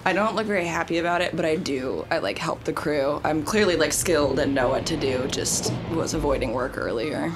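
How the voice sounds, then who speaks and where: A woman's voice: low voice, brisk, hardly any pauses. A woman chatting casually and improvising as a player in a tabletop game, with music in the background.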